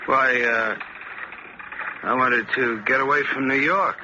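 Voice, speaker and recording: An actor playing a troubled, guilt-ridden young stowaway in an old radio drama, confessional and halting. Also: male voice, mid-range voice, steady tone, noisy background, lightly acted. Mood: uneasy, evasive. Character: troubled young male stowaway, guilt-haunted, guarded and meek. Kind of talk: 1940s radio drama acting, first-person narration and dialogue. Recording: muffled old radio recording, loud music behind.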